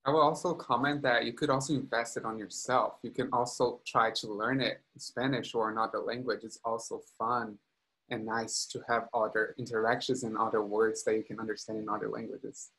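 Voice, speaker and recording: Flat-voiced man on a video panel. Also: medium pitch, brisk, rough recording. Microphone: video call.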